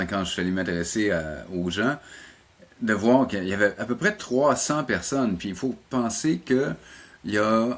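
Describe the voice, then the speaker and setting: Low-voiced middle-aged Quebec man. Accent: Quebec French. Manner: halting, repeats words while recounting. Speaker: college animation teacher, interview guest. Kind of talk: conversational interview answers.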